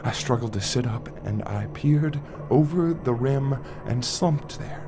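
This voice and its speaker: A man acting a wistful father who recounts a dark memory to his child in a conversational, reflective monologue with dramatic pauses. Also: mid-range voice, close to an everyday voice. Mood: intense, urgent. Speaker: narrator of an audio play. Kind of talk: first-person dramatic monologue, storytelling. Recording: music under the voice.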